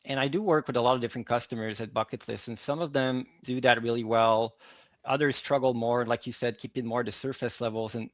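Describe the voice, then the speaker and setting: A man's voice: medium pitch, fairly even intonation, brisk, no room sound, highs cut off. A man from Quebec City whose first language is French, answering interview questions in an earnest, searching way. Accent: Québécois French. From Quebec City, Canada. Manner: earnest, restarts and repeats words while thinking. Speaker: guest, workplace psychology advisor.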